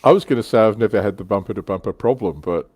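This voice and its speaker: Low-voiced man hosting a casual panel. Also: quick pace, darkish tone, breathy edge, no echo. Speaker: livestream panel host. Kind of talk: casual hobby discussion.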